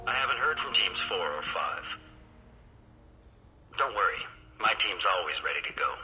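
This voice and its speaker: An actor playing a defiant, forceful mercenary squad leader in a video game. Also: male voice, fairly low pitch, sing-song, moderate pace, dull audio, soft background music, heavily acted. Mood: tense, curt.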